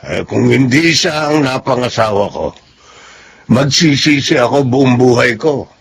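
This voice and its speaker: An actor playing a gentle older father who speaks in a fatherly, fond way. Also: medium pitch, unhurried, gravelly, breathy edge. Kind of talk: Filipino radio drama acting.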